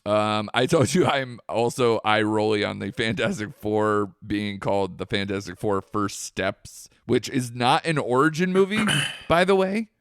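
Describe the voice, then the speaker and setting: A man's voice: on the low side, a little slow, hardly any pauses, fairly bright tone, smooth, dry recording, studio quality. A man co-hosting a podcast, talking loosely in casual chat, swearing now and then and trailing off. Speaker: podcast co-host.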